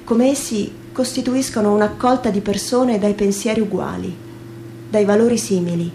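A woman recites literary prose as a narrator for radio theatre. Her pitch is average for a woman, and faint ambient noise sits behind the voice. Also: a little mellow. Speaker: stage reader, narrator. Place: live radio theatre reading.

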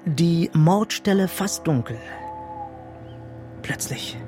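An actor playing a deferential junior investigator in a radio crime drama, polite and a little hesitant, deliberate when he tells a tale. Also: a little high, lively intonation, over music. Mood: suspenseful.

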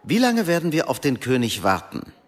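A man's voice: medium pitch, wildly swooping pitch, normal speed. A man acting a lonely, hesitant middle-aged American businessman who turns impatient at work, in a German radio drama. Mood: assertive. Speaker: actor in a radio play.

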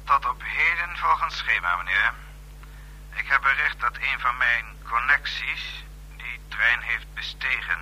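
A man playing a deferential, self-assured secret accomplice in a radio play, formal and measured, with a raspy voice. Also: mid-range voice, pushed and bright, slight background noise, poor audio. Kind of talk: Dutch radio play acting.

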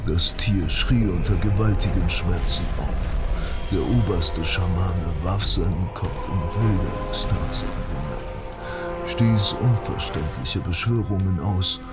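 A man's voice: low voice, slow pace. A man reading scripted narration for a fantasy audio drama. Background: loud music.

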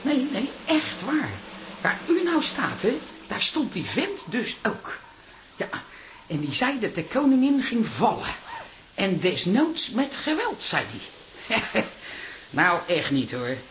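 A woman playing a chatty, excitable older toilet attendant in a radio play, flustered and stammering. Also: a little high, slow, pauses often. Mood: confiding, gossipy.

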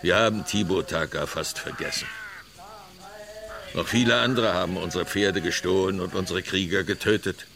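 An actor playing a stern, distrustful older Osage chief in a western radio drama, speaking gravely and curtly. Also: male voice, sits low, fairly even intonation, a crowd in the background. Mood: curt, impatient. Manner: slow, curt, grave delivery.

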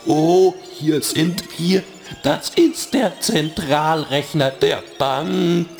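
A man playing a playful, greedy little computer virus in a children's radio play, with a creaky voice and whiny, drawn-out delivery. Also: on the high side, expressive, a little mellow, soft background music, heavily acted. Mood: announcing.

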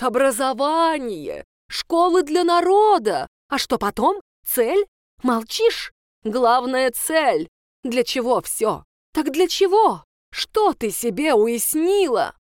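A woman voicing a fiery, demanding female schoolteacher who cross-examines a pupil in a shrill, hectoring way. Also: expressive, drawn-out words, creaky, fairly bright tone, no room sound, studio quality, exaggerated voice.

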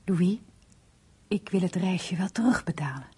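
A shy, caring amateur painter in a naturalistic radio play. Her lowish, mellow voice is very breathy, often half-whispered, with a soft, questioning, self-effacing manner. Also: lively intonation, unhurried, exaggerated voice. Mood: shy, hesitant. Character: hesitant, caring woman, a modest amateur painter. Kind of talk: naturalistic radio drama acting.

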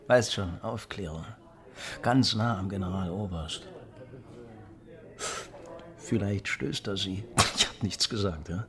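A man's voice: sits low, slow pace, long pauses. An actor playing a drunk secret-police man in a radio drama, rambling confidentially. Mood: boozy, confiding.

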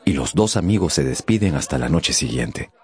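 A man narrating a radio drama as a scripted story, theatrically and with deliberate pauses. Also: extremely low pitch, expressive, darkish tone. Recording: music behind the voice.

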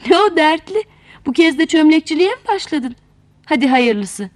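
Woman as a teasing master potter, lively voice. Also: high voice, normal speed, pitched above the performer's own voice. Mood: amused, teasing. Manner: teasing, advising. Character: amused, teasing master potter giving advice. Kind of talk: fairy-tale character voice.

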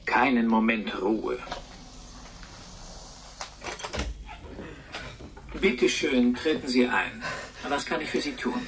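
An actor in a German radio drama playing a polite, pedantic service robot with a formal, precise manner. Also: male voice, mid-range voice, expressive, unhurried, slight background noise, lightly acted. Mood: courteous, unhurried.

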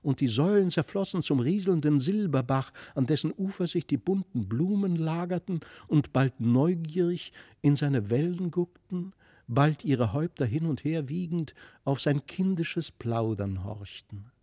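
A man reads a fairy tale in with expressive, literary phrasing. His voice sits fairly high for a man but stays mellow and soft-edged. The sound is dry and close. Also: measured pace, dry recording. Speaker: audiobook reader.

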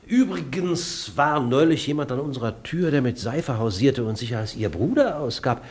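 An actor playing a courteous, formal school inspector in a radio play, speaking politely and with measured phrasing. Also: male voice, medium pitch, lively intonation, echoey room, lightly acted. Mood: genially polite.

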